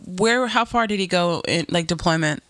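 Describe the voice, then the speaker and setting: A man in casual conversation, interjecting with quick questions. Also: sits high, bright, forceful tone, no room sound. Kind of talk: casual chat.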